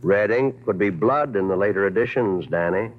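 A man as a low, menacing radio gangster boss. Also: close to an everyday voice. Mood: coolly menacing. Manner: mock-polite, slow-building threats. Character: menacing gangster boss, coolly wordy and aggrieved. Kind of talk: 1940s-50s radio crime acting. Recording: tinny old radio recording.

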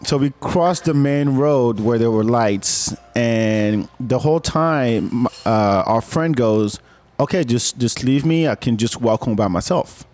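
A man's voice: mid-range voice, unhurried, darkish tone. A man from Senegal telling a ghost story in casual talk, rambling and repeating words as he acts out the dialogue. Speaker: guest storyteller.

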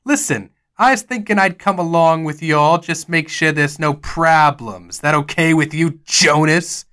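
A man's voice: fairly high pitch, measured pace, pushed and bright, smooth. A man voicing a brash, nosy Old West sheriff with a drawl. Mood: pushy.

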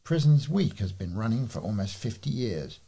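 A man reading local news articles aloud as a volunteer reader, informal and stumbling now and then, with a slightly husky voice. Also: mid-range voice, big pitch swings, moderate pace, dark tone.

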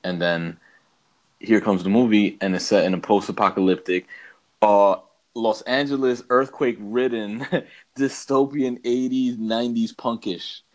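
A man with a fairly low voice talks casually as a podcast co-host. He gives his opinions on films in loose, slangy language, like someone chatting with a friend. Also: steady tone, a little slow, a little mellow. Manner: slangy, says bro and man often. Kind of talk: casual opinionated movie chat.

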